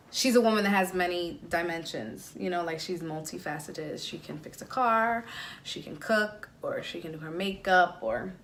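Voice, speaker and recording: Bright, candid young New woman. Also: lowish voice, a little hiss or hum. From New York. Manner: candid, laughs often, says "like" and "you know". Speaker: interview guest, makeup artist. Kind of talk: casual interview chat.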